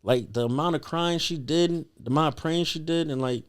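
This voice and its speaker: A man's voice: a little high, normal speed, smooth. A young man telling a personal story as a podcast guest in a casual, slangy, earnest conversational way.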